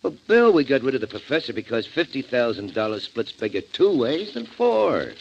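Male gangster, 1940s radio crime show, scheming and cynical, lively inflection, muffled recording. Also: medium pitch, moderate pace, gravelly, slight background noise. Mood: smug, scheming. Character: shrewd, cynical, slangy male kidnapper.

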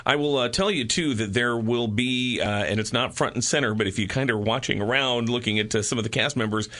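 A man's voice: medium pitch, a little fast, bright, forceful tone. An older man hosting a conversational radio interview, talking in an encouraging, promotional way.

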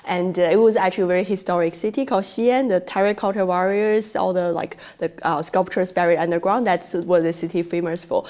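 A woman's voice: lowish voice, steady tone, brisk, hardly any pauses, a little mellow. A woman from China with a Chinese accent, whose first language is Chinese, speaking as a researcher guest in a podcast interview with many fillers and restarts.

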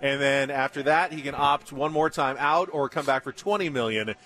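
Male sports talk host, live remote show, average pitch. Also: moderate pace, a bit bright. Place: live remote broadcast at a baseball spring training site.

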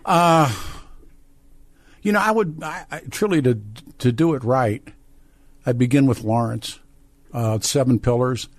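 An American man hosting a call-in radio show, who talks discursively, halting and repeating words as he thinks aloud. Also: mid-range voice, no echo. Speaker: radio talk show host. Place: radio studio. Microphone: close studio microphone.

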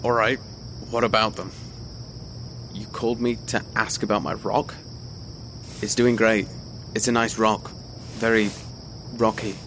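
An actor playing a hurt young ex-boyfriend in an audio drama, sardonic, clipped and dismissive. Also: male voice, lowish voice, level tone, measured pace, long pauses, natural voice. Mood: sarcastic. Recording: noisy, night insect ambience behind.

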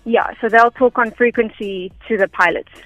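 A woman's voice: a little high, fairly even intonation, normal speed, fairly bright tone, no echo, rough recording. A South African woman explaining things step by step in a conversational, unpretentious way as an interview guest. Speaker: radio interview guest, air traffic information officer. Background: faint background music.

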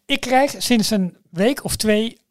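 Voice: mid-range voice, slow pace, no echo, studio quality. A Dutch podcast co-host chatting casually and enthusiastically with friends, with a teasing streak. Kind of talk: casual chat among friends.

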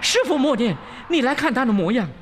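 A man voicing a brash, cocky, quick-tempered monkey warrior in an excitable, defiant storybook manner. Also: very high-pitched, big-room sound, soft background music, pitched above the performer's own voice. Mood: frantic pleading.

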